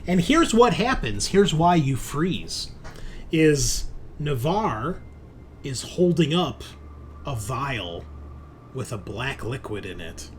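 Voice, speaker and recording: Guy running a tabletop horror rpg, thinks out loud, kinda halting, some noise in the background. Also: medium pitch, very animated. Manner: halting, thinking aloud while checking notes. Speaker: game master. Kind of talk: narrating a tabletop horror game.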